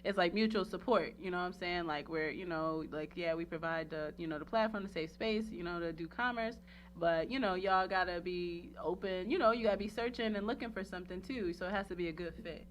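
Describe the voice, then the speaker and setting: A woman's voice: on the low side, brisk. Effusive, slangy woman host, noisy recording. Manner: effusive, slangy, rambling, frequently repeats herself. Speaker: radio show host and interviewer. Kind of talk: casual promotional interview chat.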